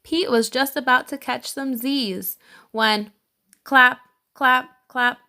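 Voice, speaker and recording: Bright, slow-paced woman reading to children. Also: sits high, fairly even intonation. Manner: slow, deliberate, emphatic phrasing for children. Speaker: read-aloud children's storyteller and channel host. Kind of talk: expressive storybook narration for young children.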